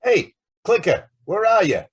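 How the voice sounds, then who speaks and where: An actor playing a brash, impatient star reporter in an old-time radio drama, with a blustery manner. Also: male voice, sits high, sing-song, a little slow, husky, heavily acted.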